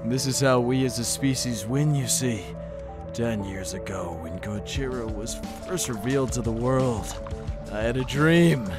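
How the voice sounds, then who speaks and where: A man's voice: medium pitch, unhurried, natural voice. A man voicing an ambitious, scheming scientist villain in a melodramatic comic dub. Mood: grandiose. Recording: loud music over the voice.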